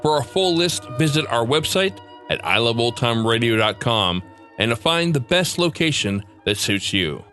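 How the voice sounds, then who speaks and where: A man's voice: medium pitch, bright, forceful tone. A man hosting a podcast, reading a scripted sign-off. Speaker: podcast host. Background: faint music.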